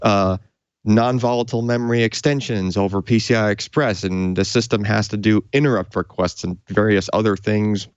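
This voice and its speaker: Male, lowish pitch, casual technical explainer, conversational, informal, dry close sound, somewhat muffled. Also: fairly even intonation, moderate pace, clear, resonant tone, no echo. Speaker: tech show co-host and hardware reviewer.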